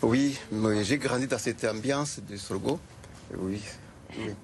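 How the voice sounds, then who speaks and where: A man's voice: lowish voice, takes it slowly, a little hiss or hum. An older man from Rwanda answering interview questions in short, plain, hesitant sentences. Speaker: guest, sorghum liqueur maker.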